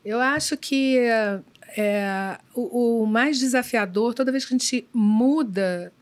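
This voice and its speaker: A middle-aged woman executive answering interview questions in a measured, thoughtful way, pausing often with fillers. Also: fairly high pitch. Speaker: business executive guest. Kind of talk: conversational podcast interview.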